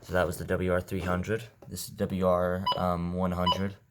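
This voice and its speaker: Male vlog host, low-pitched, casual and unscripted, recorded with heavy noise. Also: monotone, moderate pace. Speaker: video host demonstrating weather radios. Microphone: headset. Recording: heavy background noise, clicking.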